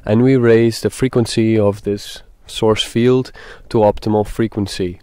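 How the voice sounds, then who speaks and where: A man leading a guided meditation, speaking in a deliberate, halting way with pauses. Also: fairly low pitch, steady tone, darkish tone, clean tone, no echo, studio quality.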